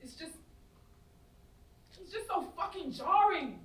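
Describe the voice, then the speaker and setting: Woman acting fiery, high bright-voiced young London woman. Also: lively intonation, slow, full character voice. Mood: exasperated. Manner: emphatic, slangy, swinging into academic rhetoric. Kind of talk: staged play reading. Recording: distant, echoey stage recording with background noise.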